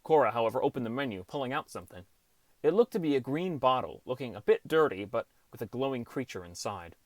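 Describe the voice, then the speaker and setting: A man's voice: mid-range voice, animated, fast, no room sound. A man reading a scripted first-person story as an audiobook narrator.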